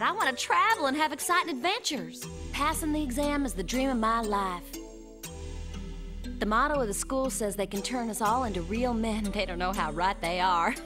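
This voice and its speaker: A woman's voice: a little high, close to an everyday voice. A woman playing a fiery, defiant young androgynous alien in an English anime dub. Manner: brash, defiant. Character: fiery young androgynous alien who insists on being male. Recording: music behind the dialogue.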